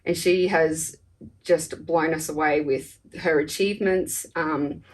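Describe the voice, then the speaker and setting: A woman's voice: sits low, fairly even intonation, takes it slowly, far from the mic, slight background noise. A woman talking in a casual conversational way as a podcast interviewer.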